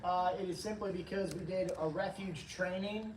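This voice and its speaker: A hoarse man hosting a casual livestream, upbeat and rambling as he reads chat comments aloud. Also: high-pitched, steady tone, normal speed, a little mellow, a little hiss or hum. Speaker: livestream host, training company staff. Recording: roomy, distant from the mic.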